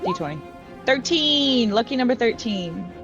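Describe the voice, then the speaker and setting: A woman's voice: on the high side, lively intonation, measured pace. A woman playing a tabletop game, chatting casually and playfully with slangy, eager delivery. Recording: dull lo-fi audio, music behind. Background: music.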